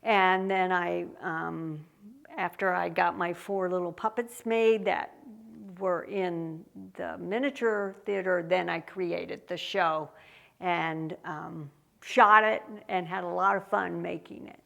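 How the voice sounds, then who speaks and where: A woman artist explains her work on a tour of a gallery, taking her time. Her speech is slow with many ums and pauses, and her voice has a gravelly fry. She sounds relaxed and explanatory. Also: on the low side. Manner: unhurried, with frequent ums and pauses. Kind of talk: casual explanatory walk-through. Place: art gallery, indoors.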